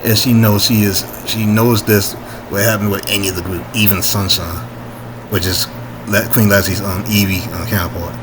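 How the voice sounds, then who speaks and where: A man reading his own story informally, haltingly, with asides and frequent rereading to correct himself. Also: fairly low pitch, little pitch movement, background noise.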